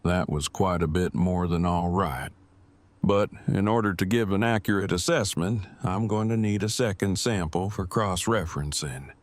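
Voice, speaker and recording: A man's voice: sits low, normal speed, dry recording, natural voice. An actor playing an earnest, long-winded nerdy man in an audio drama, with formal and precise wording. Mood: smitten, matter-of-fact. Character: earnest, awkward, verbose nerdy man newly in love.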